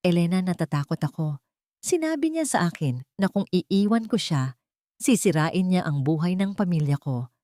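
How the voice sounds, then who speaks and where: A woman's voice: fairly low pitch, sing-song, normal speed, mellow, no room sound, clean studio sound. A woman with a Filipino accent voicing a frightened, despairing young woman reading out her secret letters in a story. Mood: fearful, despairing.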